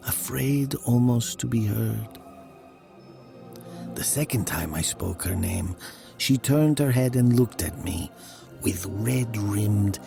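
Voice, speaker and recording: An actor playing a brooding murderer who narrates his own dark fable in a measured, dramatic manner. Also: lowish voice, creaky, exaggerated voice. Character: brooding male village murderer recounting his dark tale.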